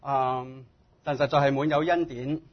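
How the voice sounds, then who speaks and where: A man preaching as a guest in short phrases, speaking humbly and haltingly in his native tongue. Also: mid-range voice, fairly even intonation. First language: Cantonese. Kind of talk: sermon delivered in short phrases for interpretation. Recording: dull, muffled lo-fi sound.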